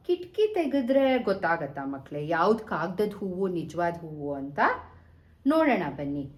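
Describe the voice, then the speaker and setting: A woman telling a bedtime story to young children in a gentle, engaging manner. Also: mid-range voice. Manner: gentle, addresses listeners with questions.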